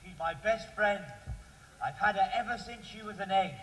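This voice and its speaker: A man's voice: high-pitched, moderate pace, background noise, natural voice. A man playing a silly, sleepy young lad in a broad stage pantomime, clowning and exclaiming to the audience. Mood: jokey. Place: stage of a large theatre hall. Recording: echoey theatre hall, faint music.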